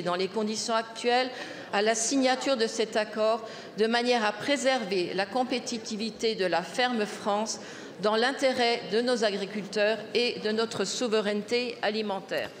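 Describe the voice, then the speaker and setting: An older woman, a member of parliament, formally reading her question from a sheet into a gooseneck mic. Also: on the high side. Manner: measured, reading aloud from a sheet. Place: large parliament chamber. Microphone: gooseneck desk mic. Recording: roomy echo with long hall reverb.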